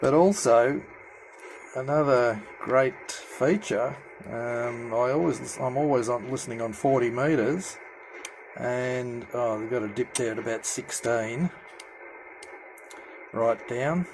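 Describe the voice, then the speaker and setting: Halting man in a noisy recording. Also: medium pitch. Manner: hesitant, halting delivery with many pauses. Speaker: amateur CB radio hobbyist presenter. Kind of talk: unscripted hands-on demonstration. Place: indoor room at home with radio gear.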